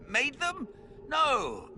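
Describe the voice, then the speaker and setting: Smug, swooping villain mage lord. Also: male voice, extremely high pitch, wildly swooping pitch, drawn-out words, pushed and bright, soft background music, heavily acted. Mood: mocking, amused. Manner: pompous, mocking, theatrical. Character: smug, theatrical male villain mage lord, gloating and mocking. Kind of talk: video game villain acting.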